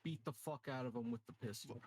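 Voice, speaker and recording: Dude playing tabletop, chill wording, swears a lot, really dry mic. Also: male voice, medium pitch, fast, a little mellow, breathy edge, no room sound. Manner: informal, sweary. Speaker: tabletop game player. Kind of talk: casual chat.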